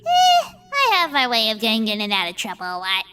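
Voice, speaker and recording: A woman's voice: on the high side, huge pitch swings, brisk, sharp tone, smooth, pitched below the performer's own voice, full character voice. A woman voicing a plucky young female adventurer in a tabletop roleplay, with a casual, offhand manner.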